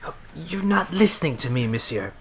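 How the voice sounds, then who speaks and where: An actor playing a fawning, formal court official in an audio drama, gushing and obsequious. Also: male voice, a little high, sing-song, brisk. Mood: effusive, flattering.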